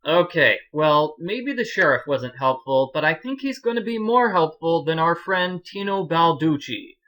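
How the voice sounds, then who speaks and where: A man's voice: fairly high pitch, animated, a little slow, bright, forceful tone. A man giving casual, chatty, jokey commentary as he hosts a video game walkthrough.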